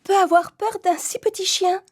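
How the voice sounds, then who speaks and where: A woman voicing a kind young girl in a storybook cartoon, gentle and earnest. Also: extremely high pitch, fairly even intonation, moderate pace, pitched above the performer's own voice. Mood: comforting.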